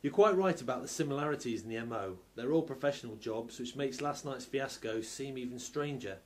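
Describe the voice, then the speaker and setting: Man as a measured, courteous police inspector. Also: medium pitch, expressive, close to an everyday voice. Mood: considering. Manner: measured, polite, questioning. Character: sceptical, courteous police inspector in steampunk London. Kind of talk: steampunk radio play acting.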